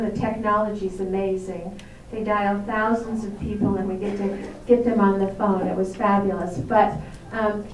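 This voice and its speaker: A woman's voice: fairly high pitch, a little mellow, slight background noise. A woman from a senator's staff giving a chatty, upbeat town hall update with many ums. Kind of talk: public speech at a town hall. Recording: echoey hall recorded from far off.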